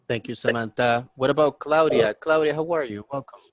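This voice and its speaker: Man, mid-range voice, dry acoustics, halting delivery, panel moderator. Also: mid-range voice, dry recording. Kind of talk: webinar hosting.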